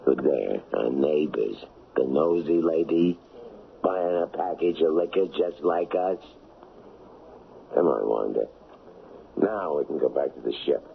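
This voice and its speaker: An actor playing a cocky, scheming, menacing smuggler in a radio drama, talking in brash street slang. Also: male voice, a bass voice, unhurried, vocal fry, pauses often, heavily acted. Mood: brash, smug. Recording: muffled, lightly noisy old radio broadcast.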